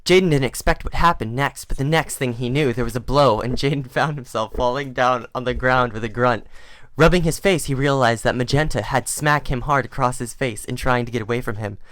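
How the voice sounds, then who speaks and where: A woman's voice: a bass voice, brisk, few pauses, no room sound. A woman reading fan fiction aloud as an amateur, in a mock-serious dramatic style.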